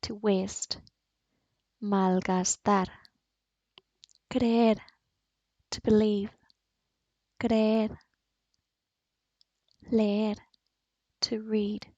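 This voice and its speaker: A woman with a Spanish accent, a native Spanish speaker, teaching Spanish as a language teacher and pronouncing each word slowly and clearly. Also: medium pitch, little pitch movement, darkish tone, no room sound. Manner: slow, clearly enunciated word drills. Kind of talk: language lesson. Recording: dull, dry voice-over.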